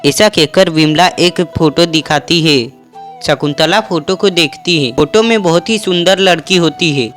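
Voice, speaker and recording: Cartoon narrator, steady and even, music bed. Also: mid-range voice, moderate pace. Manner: steady, matter-of-fact telling.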